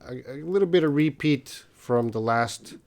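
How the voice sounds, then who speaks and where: A man's voice: medium pitch, normal speed. A man hosting a live-stream English Q&A, reading out viewer comments in a casual, hesitant way with many fillers. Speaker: host of English lessons.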